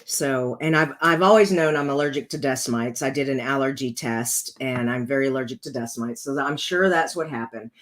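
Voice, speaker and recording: A woman with a rough, congested voice hosting a casual card-making tutorial, chatting her way through each step as she works. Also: a bass voice, moderate pace, few pauses.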